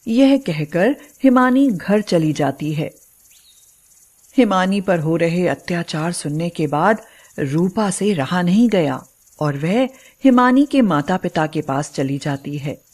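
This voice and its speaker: A woman's voice: fairly low pitch, lively intonation, slow pace, darkish tone. A woman narrating a scripted moral story in a dramatic storytelling style.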